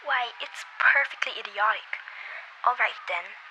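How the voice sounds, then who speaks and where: Young woman acting shrill-bright, imperious, agitated married lady. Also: a little slow. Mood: exasperated. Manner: demanding, fretful, indignant. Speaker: amateur voice actor. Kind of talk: radio play acting. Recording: noisy amateur radio play recording.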